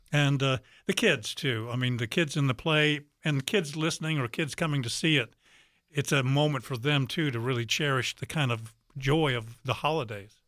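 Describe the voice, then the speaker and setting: A man's voice: medium pitch, a bit bright, no echo, clean studio sound. A man talking earnestly and conversationally as a radio interview guest, repeating words for emphasis. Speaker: interview guest, community theater player. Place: radio studio.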